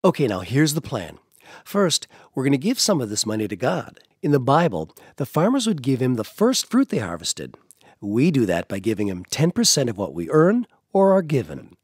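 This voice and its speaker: A man's voice: mid-range voice, wildly swooping pitch, normal speed, a little mellow, breathy edge, no room sound, clean studio sound. An American actor playing a cheerful, devout middle-aged father in a family radio drama. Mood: cheerful, encouraging.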